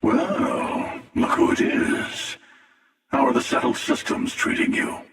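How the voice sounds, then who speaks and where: An actor playing a cynical, smug, world-weary hunter villain who crosses the multiverse in a video game, with a gravelly rasp and a taunting, sardonic manner. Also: male voice, slow pace, a bit bright, heavy reverb, in a large hall, recorded from a distance.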